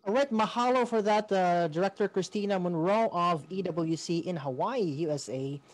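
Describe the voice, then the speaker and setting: A woman's voice: lowish voice, moderate pace, no echo. Woman hosting, very dry sound. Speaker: master of ceremonies. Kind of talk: formal event hosting.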